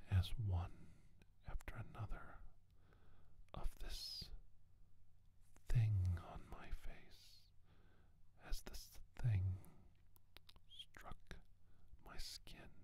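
A man playing a traumatised doctor testifying about his alien abduction in an intense, halting ASMR monologue broken by long pauses. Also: fairly low pitch, dark tone. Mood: tense, haunted. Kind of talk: whispered ASMR dramatic monologue.

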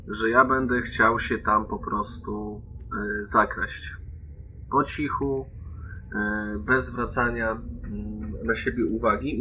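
A man's voice: mid-range voice, sharp tone, slight background noise, low-quality recording. A man playing a tabletop game, informally explaining what he intends to do step by step. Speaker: tabletop roleplay player. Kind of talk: casual in-game talk.

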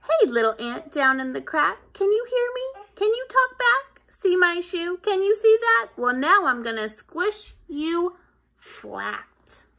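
A woman's voice: extremely high pitch, big pitch swings, takes it slowly, bright, forceful tone, pitched above the performer's own voice, full character voice. A woman voicing a big, bossy boy in a rhyming storybook, boastful and sing-song. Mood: taunting. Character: big bossy boy taunting a tiny ant.

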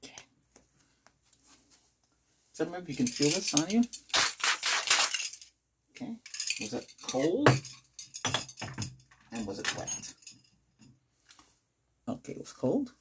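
A middle-aged man doing an ASMR doctor roleplay, giving short questions and instructions to a patient, with loud noise and clicks behind him. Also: fairly high pitch, big pitch swings, slow, pauses often. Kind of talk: ASMR medical exam roleplay.

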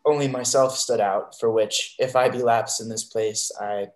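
A young man acts out a devoted, wary seafarer in a classic stage play. His mid-pitched voice is earnest and halting, in an echoey room on a video call. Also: steady tone, lightly acted. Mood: wary, caring. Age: young adult. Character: devoted, wary male sea fighter in a stage comedy. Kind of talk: Shakespeare reading over video call.

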